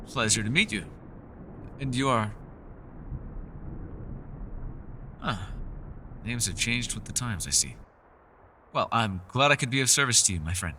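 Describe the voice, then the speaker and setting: A man voicing a centuries-old elf in a fantasy roleplay, gentle, socially awkward and chatty, with formal, old-fashioned, apologetic phrasing. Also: medium pitch, lively intonation, moderate pace, long pauses, a little hiss or hum. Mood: polite, flustered. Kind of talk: fantasy ASMR roleplay voice acting.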